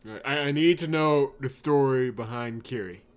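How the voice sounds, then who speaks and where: A man hosting a let's play, reading game narration aloud and breaking into excitable commentary. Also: medium pitch, moderate pace. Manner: excitable, exclamatory reactions.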